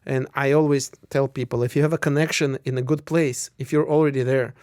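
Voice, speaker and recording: A man's voice: medium pitch, steady tone, fairly quick, a little mellow, no echo, studio quality. A middle-aged man from Russia and Israel, a native Russian speaker, giving opinionated interview answers with emphatic repeated phrases. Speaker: interview guest, lawyer.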